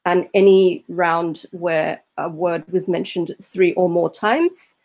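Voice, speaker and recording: A young woman with a fairly low voice talks slowly and unhurriedly about her poetry. She pauses often and fills the gaps with small hesitations, speaking into a laptop webcam from a room at home. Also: a little mellow. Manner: unhurried, hesitant, many fillers. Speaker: poet, panel guest. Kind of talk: casual video-call talk about her work. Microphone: laptop webcam on a video call.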